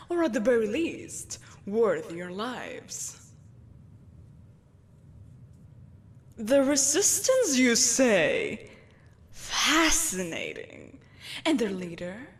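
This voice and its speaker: A woman's voice: on the high side, sing-song, slow pace, breathy edge, heavily acted. A woman playing a malevolent, possessive empress in a dramatic audio roleplay, imperious and theatrical, with mocking sweetness. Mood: haughty, intrigued.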